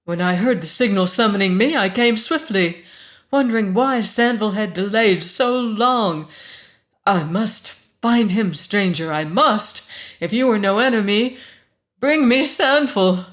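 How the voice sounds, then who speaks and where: Man voicing an imperious, haughty young woman, raised pitch. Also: slow pace, fairly bright tone. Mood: accusing, urgent. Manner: commanding, urgent, ringing.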